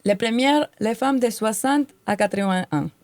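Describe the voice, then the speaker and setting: A woman's voice: on the high side, normal speed, darkish tone, no room sound. A woman in her forties from Colombia, with a light Colombian Spanish accent, co-hosting a podcast and speaking earnestly from prepared notes. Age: 40s. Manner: earnest and heartfelt. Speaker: podcast co-host.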